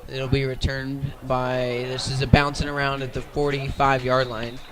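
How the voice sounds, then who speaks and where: A man's voice: medium pitch, level tone, normal speed, heavy background noise. A man doing live radio play-by-play of a football game outdoors, in short clipped phrases. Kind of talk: live radio sports commentary.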